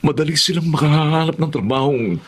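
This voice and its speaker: A man's voice: fairly high pitch, brisk, slightly rough. A man playing a determined, ambitious middle-aged Filipino father in a radio drama, emphatic and persuasive, with a Filipino accent. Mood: earnest, persuasive.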